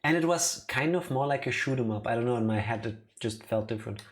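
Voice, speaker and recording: A man's voice: medium pitch, fast. A man giving casual commentary as he streams a video game. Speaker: let's play streamer.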